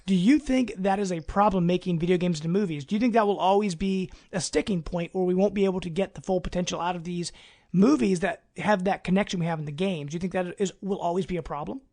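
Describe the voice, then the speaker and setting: High-pitched, creaky-voiced man hosting. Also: fast talker, a little mellow. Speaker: podcast host. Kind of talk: casual discussion.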